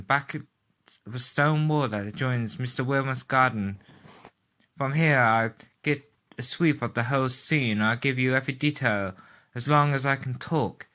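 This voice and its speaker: A man playing an excitable radio reporter in an old-style radio play, giving a dramatic, halting eyewitness account. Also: mid-range voice, natural voice. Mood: urgent, alarmed. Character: excitable male radio reporter, live eyewitness, awed and horrified.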